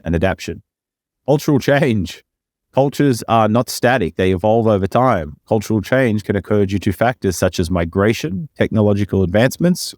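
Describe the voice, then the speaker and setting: Low-voiced man reading notes aloud. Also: no echo, clean studio sound. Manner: reads text aloud stiffly with slips, then relaxed and joking. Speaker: podcast co-host. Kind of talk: reading a definition aloud, then casual chat.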